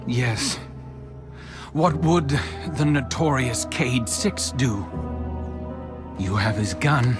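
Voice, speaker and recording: An actor playing a weary, menacing fallen prince in a video game, with a deliberate, sardonic delivery. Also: male voice, medium pitch. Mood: cold, sardonic. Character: fallen prince villain, male, weary, menacing, searching for his sister. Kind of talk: dramatic game cutscene acting. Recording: music behind the voice.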